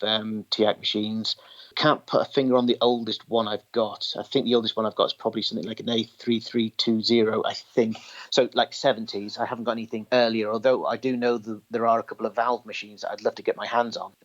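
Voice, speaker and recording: A man chatting as an interview guest, explaining technical details at length. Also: on the low side. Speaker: podcast guest, tape machine collector. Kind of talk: conversational interview. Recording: dull, lo-fi sound.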